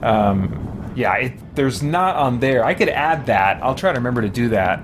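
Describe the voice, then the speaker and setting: Male game streamer, mid-pitched, off-the-cuff and halting, noisy recording. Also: animated. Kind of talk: casual livestream chat with game commentary. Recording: clearly noisy background.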